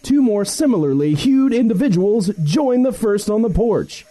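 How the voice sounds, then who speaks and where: A man reads scripted comic narration as a mock-dramatic storyteller. His voice is high and very mellow, with lively pitch movement. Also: moderate pace.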